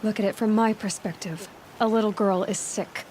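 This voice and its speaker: A woman's voice: medium pitch, fairly even intonation, moderate pace, a little hiss or hum, natural voice. A woman playing a determined, compassionate young wanderer heroine in a video game, speaking directly and bluntly. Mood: firm, challenging. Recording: loud music behind the voice.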